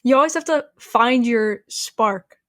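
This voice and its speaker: A teenage woman speaks earnestly and reflectively with friends on a podcast, filling her sentences with "like". Her voice sits a little high. The recording is dry and close. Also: measured pace, fairly bright tone, dry recording. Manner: earnest and reflective, filler-heavy. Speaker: podcast co-host. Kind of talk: casual chat among friends.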